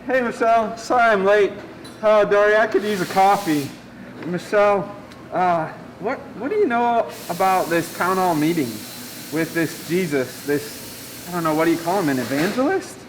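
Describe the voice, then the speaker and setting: A man playing a skeptical, fretful friend in a radio play, halting and questioning as he talks. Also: high voice, lively intonation, slight background noise, low-quality recording. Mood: flustered, curious.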